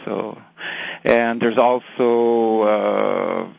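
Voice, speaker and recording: A man with a French accent, a native French speaker, answering interview questions in halting English and searching for words. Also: on the low side, fairly even intonation, creaky, a little mellow, no room sound, rough recording. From France. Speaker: guest.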